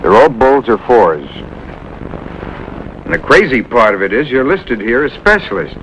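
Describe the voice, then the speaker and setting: An actor playing a gruff but friendly American military instructor in a 1950s TV drama. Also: male voice, medium pitch, big pitch swings, a little slow, a little hiss or hum. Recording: muffled 1950s TV soundtrack, loud music.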